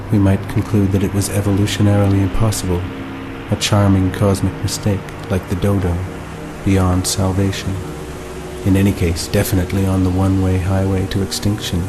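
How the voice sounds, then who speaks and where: A man narrating a scripted, reflective monologue in a measured, deliberate and articulate way. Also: low voice. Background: music.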